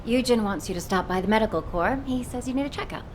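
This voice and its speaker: An actress playing a friendly, concerned young military assistant with a caring, expressive manner in a video game. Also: female voice, fairly high pitch, fast, a little hiss or hum, natural voice. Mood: worried.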